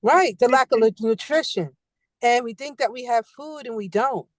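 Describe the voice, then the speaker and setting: A woman hosting a podcast, speaking in an emphatic, animated casual conversation. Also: a little high, moderate pace, no room sound. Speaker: podcast host.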